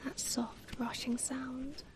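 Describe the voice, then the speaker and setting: A woman performing an ASMR comfort roleplay as an affectionate mother figure, speaking tenderly in a soft, breathy whisper with lingering pauses. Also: high-pitched, little pitch movement.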